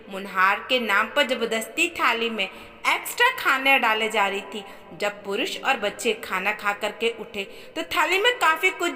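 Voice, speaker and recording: Woman reading a family drama story, high voice, super animated n emphatic, lots of reverb. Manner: expressive, emphatic. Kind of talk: scripted dramatic narration of family moral story. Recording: long reverberant echo on voice.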